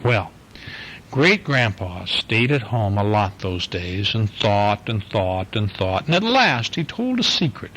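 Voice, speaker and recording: A man reading a picture book aloud to his young daughter at bedtime at home, gently and unhurried. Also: lowish voice, big pitch swings, slight background noise, rough recording. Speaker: father reading a bedtime story. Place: home bedroom.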